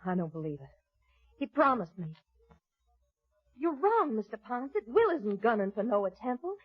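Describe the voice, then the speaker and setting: A worried, loyal ranch wife in a vintage radio Western. Her voice is very husky and swings widely as she insists and pleads. The old recording is muffled. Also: a little high, sing-song, normal speed, no room sound, faint music behind. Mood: pleading denial. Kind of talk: 1950s radio drama acting.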